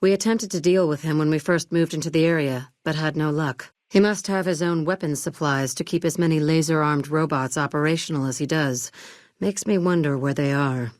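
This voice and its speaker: A cool, calculating businesswoman from a video game, acted by a woman, speaks in a low register. Her delivery is measured and curt, with a condescending, businesslike edge. The recording is dry, with no room around the voice. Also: darkish tone, no room sound, lightly acted. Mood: cool, guarded. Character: cool, ruthless businesswoman running a family arms business. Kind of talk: video game character dialogue.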